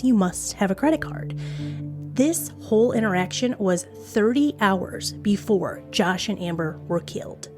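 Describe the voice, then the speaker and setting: Woman telling true crime over music. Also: mid-range voice. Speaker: true crime podcast host. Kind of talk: conversational true crime storytelling. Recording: background music under voice.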